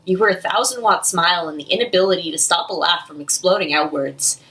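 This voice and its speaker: A woman's voice: lowish voice, a little fast, bright, forceful tone. A young woman recites her own spoken-word poetry in an earnest, emotive, rhythmic delivery. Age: young adult. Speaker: slam poet. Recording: distant, off-mic amateur recording.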